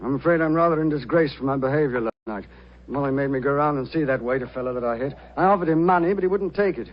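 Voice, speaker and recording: An actor playing a hot-tempered, arrogant English drinker in 1940s radio drama, blustering and contemptuous. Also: male voice, mid-range voice, fast, lightly acted. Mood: haughty. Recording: muffled, faintly noisy 1940s radio recording.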